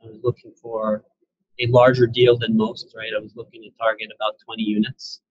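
Man, 40s, video call guest, husky voice, flat even delivery, rambling with fillers, dull lo-fi laptop audio. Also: lowish voice, fairly quick, no echo. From Syria, raised in New York and New Jersey. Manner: relaxed, rambling, many "uh" fillers and restarts. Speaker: guest, real estate investor. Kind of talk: casual interview on a video call. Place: indoor room, on a video call.

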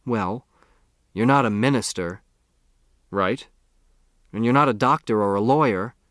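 A man's voice: lowish voice, a little slow, fairly bright tone, no echo, studio quality. A man voicing an earnest, curious boy who lives in the woods, in an audiobook. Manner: earnest, inquisitive.

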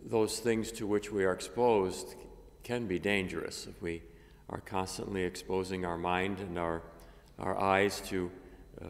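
An older man, a priest, reading a gospel and preaching a homily at a lectern microphone, measured and halting with many fillers. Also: deep voice, fairly even intonation. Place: cathedral chapel, at a lectern. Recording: long reverb of a chapel.

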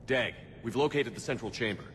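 A man playing a steady military commander. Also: medium pitch, fairly quick, big-room sound, slight background noise, natural voice. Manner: steady, clipped orders. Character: calm determined human military commander. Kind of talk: video game cutscene acting.

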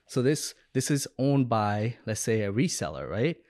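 A man's voice: mid-range voice, sing-song, moderate pace, a little mellow, no echo. A young man from Bangladesh talking casually and anecdotally as a podcast guest, close on a microphone. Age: young adult. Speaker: podcast guest, business owner and coach. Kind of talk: casual storytelling in interview. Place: room at home or studio, indoors. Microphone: close podcast microphone with headset.

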